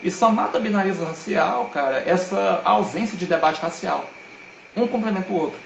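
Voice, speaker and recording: A man's voice: high-pitched, animated, normal speed, gravelly, a little hiss or hum. A man talks as a guest on a live stream, earnest and emphatic, with a lot of slang. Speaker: guest speaker. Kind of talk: casual live-stream talk. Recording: roomy echo.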